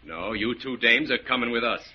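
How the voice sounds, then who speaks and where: An actor playing a menacing, mocking gangster with tough slangy talk in 1940s radio drama style. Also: male voice, medium pitch, moderate pace, husky, lightly acted. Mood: sardonic, commanding. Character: menacing, sardonic male gangster holding women at gunpoint. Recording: muffled, noisy 1940s radio recording.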